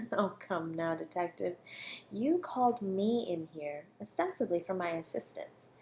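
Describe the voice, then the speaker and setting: A woman acts out a self-assured female academic suspected of murder in an intimate audio roleplay. She is coy and teasing, speaking with measured composure. Her manner is condescending and flirtatious, as if she is always a step ahead of the detective. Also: lowish voice, animated, dark tone. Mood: cool, condescending. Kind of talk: flirty audio roleplay, one-sided dialogue.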